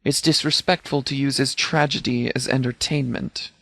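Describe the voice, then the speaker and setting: An actor playing a stern, principled middle-aged sheriff in a video game, speaking in a formal, grave and deliberate way. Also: male voice, medium pitch, steady tone, gravelly, a bit bright, dry recording. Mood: solemn. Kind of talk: scripted video game character dialogue.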